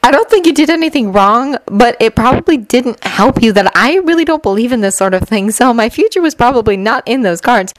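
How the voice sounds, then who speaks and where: A woman's voice: on the high side, animated, a little fast, few pauses, no room sound, pitched above the performer's own voice, natural voice, low-quality recording. A woman voicing an unimpressed, politely blunt teenage girl with a matter-of-fact manner. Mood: awkward, polite.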